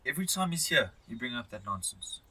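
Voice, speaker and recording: A man's voice: mid-range voice, sing-song, quick pace, pushed and bright, slightly rough, full character voice, low-quality recording. A man acting a grumpy, blunt elderly husband in an amateur radio drama. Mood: grumbling.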